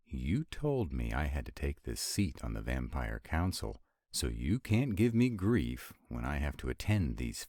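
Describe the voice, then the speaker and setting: Vampire lover, played by a male actor, romantic audio drama: extremely low voice, dry close sound, sardonic, exasperated, affectionate. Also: warm tone, no room sound, full character voice. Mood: mock grumpy. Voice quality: very deep voice. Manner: sardonic, exasperated, intimate, swooping emphasis. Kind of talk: romantic roleplay audio acting.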